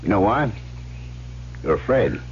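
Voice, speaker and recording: Swooping, low-voiced seductive gangster. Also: male voice, very animated, unhurried. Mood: goading, intense. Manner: smooth-talking, insistent, theatrical, teasing. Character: cocky, seductive escaped gangster, silver-tongued and goading. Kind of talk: old-time radio drama acting. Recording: muffled vintage radio broadcast, faint hum.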